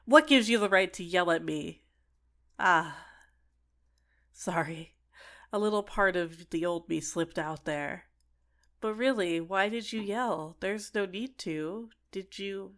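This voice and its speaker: A woman's voice: on the low side, slow, a bit bright. A woman playing an obsessive, sentient dating-sim character in an ASMR roleplay, clingy and coaxing with menacing sweetness. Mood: sharp, then apologetic. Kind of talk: scripted ASMR roleplay monologue.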